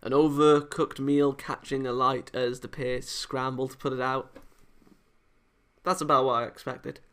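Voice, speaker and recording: A man's voice: medium pitch, level tone, measured pace, a bit bright, clean tone. A man hosting a let's play, reading a visual novel aloud and breaking off into casual, joking asides. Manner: breaks off into joking, teasing remarks on the story. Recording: game music behind voice. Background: background music from the game.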